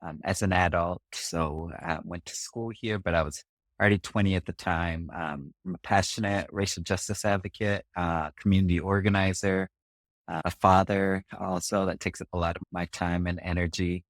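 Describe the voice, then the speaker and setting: A middle-aged man from Singapore with a very low, deep voice, speaking as a podcast guest. He tells his story in a measured, reflective way, pausing on fillers. The sound is dry and close, with no room around it. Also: steady tone, dry recording. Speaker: podcast guest, community organizer. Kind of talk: conversational storytelling interview.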